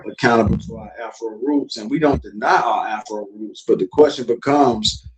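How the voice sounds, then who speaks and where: A man's voice: fairly low pitch, normal speed. A man from South Florida hosting a casual talk show, lecturing loosely and swearing freely. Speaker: podcast host. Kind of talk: casual chat. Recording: distant mic with faint noise.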